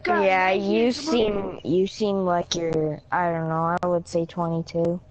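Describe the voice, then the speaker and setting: A woman's voice: fairly low pitch, fairly even intonation, slow, crowd noise. A woman chatting casually over an online voice chat party, rambling with lots of "like" and "yeah". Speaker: friend in voice chat. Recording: dull lo-fi voice chat audio.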